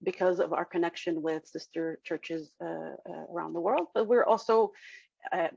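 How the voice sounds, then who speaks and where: A woman's voice: on the low side, steady tone, normal speed, vocal fry, darkish tone, slightly rough, no room sound. A woman from Nazareth whose first language is Arabic, giving an informal talk to a congregation over a video call, explaining with many fillers and restarts. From Nazareth, Israel. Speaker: guest speaker at an interfaith service. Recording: dull lo-fi video call audio.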